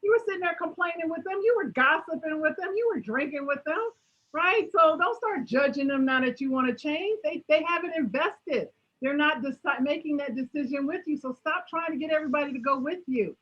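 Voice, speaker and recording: A woman answering audience questions in a motivational talk, emphatic and animated, often tagging her points with "right?". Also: sits high, brisk, muffled recording. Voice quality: strong voice.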